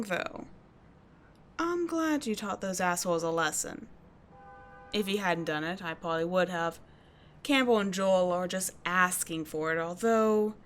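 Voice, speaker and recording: A woman playing a tough but kind teenage delinquent schoolgirl in an audio roleplay, talking casually and bluntly to the listener, with swearing and halting, confiding asides. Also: medium pitch, close to an everyday voice. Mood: wry, probing.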